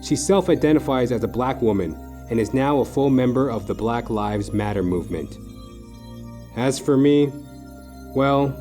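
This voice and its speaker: Casting: male actor as hard-boiled gumshoe narrator, wry and world-weary, medium-pitched, for a comic noir audio play. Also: warm tone, natural voice. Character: wry, world-weary, down-on-his-luck male private detective.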